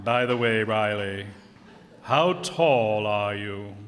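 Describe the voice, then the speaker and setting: Male actor as ghoulish polite undertaker, unhurried, solemn, macabre humor, old-time radio play, reverberant hall. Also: on the low side, noisy background. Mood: dryly macabre. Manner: slow, solemn, formal delivery. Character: morbid, genteel male undertaker with macabre wit. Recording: echoey hall stage performance.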